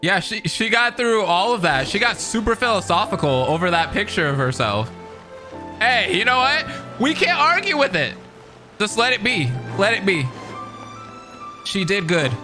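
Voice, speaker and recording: A man's voice: high-pitched, very animated, moderate pace, music in the background. A man giving casual, slangy reaction commentary as a game streamer. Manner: slangy, informal.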